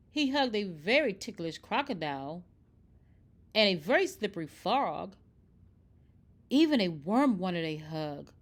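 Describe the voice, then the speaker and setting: A woman reading a children's picture book aloud in expressive, scripted narration. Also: mid-range voice. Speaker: storybook reader.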